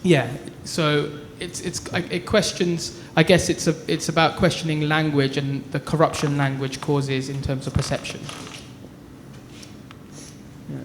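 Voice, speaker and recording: A man's voice: on the high side, level tone, moderate pace, few pauses. A man, an artist, talking at a public panel, hesitant with many stumbling restarts. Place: art gallery talk before an audience. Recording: echoey gallery with background noise.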